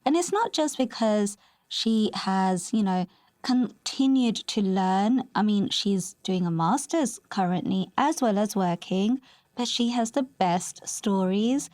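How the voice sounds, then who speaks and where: A woman's voice: medium pitch, lively intonation, takes it slowly, darkish tone, no echo. A woman hosting a podcast interview, talking in an enthusiastic, effusive way. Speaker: podcast host. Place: recording in New York.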